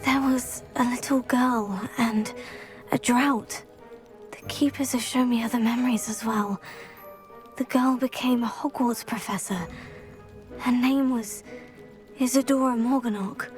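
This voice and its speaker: High-voiced young schoolgirl witch, acted by a woman. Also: fairly even intonation, unhurried, long pauses, lightly acted. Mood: earnest explaining. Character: thoughtful, determined teenage schoolgirl witch investigating mysteries. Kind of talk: video game cutscene acting. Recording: game music behind the voice. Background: game music.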